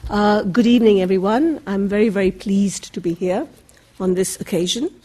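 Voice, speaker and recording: A woman from Bangladesh chairing a public panel discussion, giving formal introductions in a measured way with occasional fillers. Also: medium pitch, warm tone.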